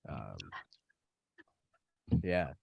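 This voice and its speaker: A man's voice: a bass voice, expressive, extremely slow, gravelly, muffled recording, slight background noise. A nineteen-year-old man hosting a casual podcast, talking with hesitant ums and easy laughs. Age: young adult. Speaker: host.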